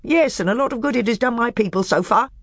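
A woman's voice: on the high side, very animated, normal speed, dry recording, clean studio sound, voice pushed up. A woman reading aloud, voicing a scheming, bitter male dwarf who speaks coldly and snaps. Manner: cold, snapping, rising to a scream.

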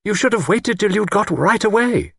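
A man's voice: sits high, lively intonation, moderate pace, gravelly, sharp tone, breathy edge, no echo, clean studio sound, voice pushed up, full character voice. A man voicing an anxious, genteel spinster lady in an audiobook, fretful, fluttery and politely reproachful. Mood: distressed reproach. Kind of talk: audiobook character acting.

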